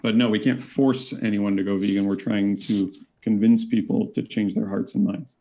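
Mellow-voiced man arguing a debate point. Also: on the low side, fairly even intonation, moderate pace. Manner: reasoned, argumentative. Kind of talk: online debate. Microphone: webcam video call.

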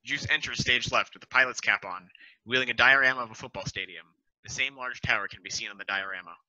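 Man reading stage directions, crisp bright voice, steady, dull video-call sound. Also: mid-range voice, quick pace, no room sound. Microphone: video call headset.

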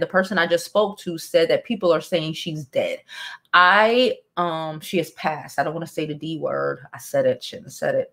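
A woman, casually and bluntly commenting on news and reading it aloud from a home studio, with sighs and profane asides. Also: fairly low pitch. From Brooklyn, New York. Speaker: celebrity news vlogger. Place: home studio with neon sign, indoors.

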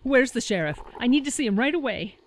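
A woman's voice: a little high, animated, a little fast, close to an everyday voice. A woman playing a curt, demanding, sour small-town woman in an audio drama. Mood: frantic, demanding.

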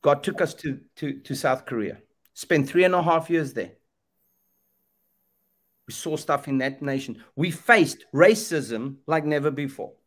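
A man's voice: on the high side, a little slow. A middle-aged man from Zambia, speaking with preacher's emphasis on a video call, repeating phrases and asking rhetorical questions. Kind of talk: impassioned preaching talk. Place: indoor room, video call. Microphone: webcam video call.

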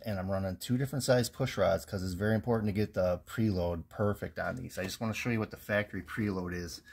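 A man's voice: sits low, darkish tone. Plainspoken man explaining engine work. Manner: plainspoken, technical, frequent ums and restarts. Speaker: home mechanic. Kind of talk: casual garage how-to explanation. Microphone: handheld phone camera close over engine.

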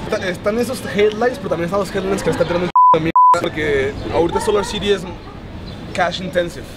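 A young man with a Mexican accent, chatting in a rapid, slangy and opinionated way. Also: high-pitched, huge pitch swings. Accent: Mexican Spanish. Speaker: friend. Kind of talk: casual chat. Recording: street noise.

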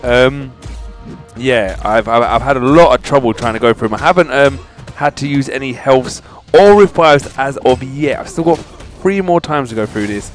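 A man's voice: mid-range voice, moderate pace. Chatty male gamer over game music. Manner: informal, chatty, addressing viewers directly. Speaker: gaming channel host. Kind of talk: casual video game walkthrough commentary. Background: video game music.

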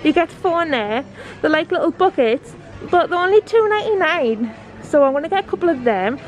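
A woman's voice: sits high, animated, a little fast, low-quality recording. A woman in her thirties from Newcastle with a Geordie accent, vlogging a shopping trip and chatting eagerly about prices and finds. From Newcastle upon Tyne, England. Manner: chatty, enthusiastic, reads out prices. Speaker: family vlogger. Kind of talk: casual shop-with-me vlog. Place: large indoor discount store. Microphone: handheld camera. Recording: shop music and crowd noise behind. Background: store music and shoppers.

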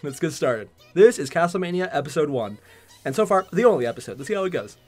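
A young man hosting a reaction video, chatting casually with joking, excitable exclamations. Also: a little high, fast, faint music behind. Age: young adult. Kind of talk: casual banter reacting to an animated parody. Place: small furnished room at home, on a couch.

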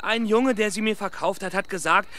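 A man's voice: high-pitched, brisk, pushed and bright. An actor playing an emphatic, indignant young village policeman in a children's radio play. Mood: defensive. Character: accused young village policeman, proud, flustered, protesting innocence. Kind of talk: children's radio drama acting.

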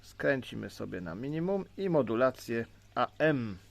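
A Polish man giving an informal, unscripted hands-on gadget demonstration as a hobbyist, explaining in a halting way. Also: mid-range voice, sing-song, creaky, very noisy. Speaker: CB radio hobbyist presenter.

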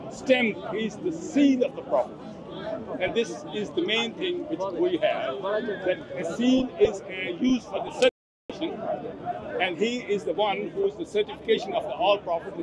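A man speaks in a fairly high voice, earnestly laying out his views at length and restarting often. He is recorded outdoors in a park debate. A busy crowd chatters around him. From India. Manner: earnest, long-winded explaining with many restarts. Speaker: visiting debater. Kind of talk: street debate.

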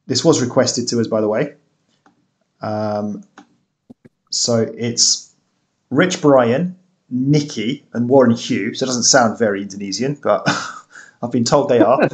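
A British man in his thirties hosting a music reaction video from a small room at home, relaxed and hesitant, with many ums. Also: mid-range voice. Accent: British English. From Britain. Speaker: reaction channel host. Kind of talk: casual reaction chat.

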